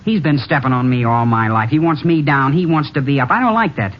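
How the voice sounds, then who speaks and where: A man's voice: mid-range voice, lively intonation, a little fast, natural voice. A man acting a cocky, resentful young jockey in old-time radio drama, clipped, bitter and confrontational. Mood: bitter, heated. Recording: muffled, faintly noisy vintage radio recording.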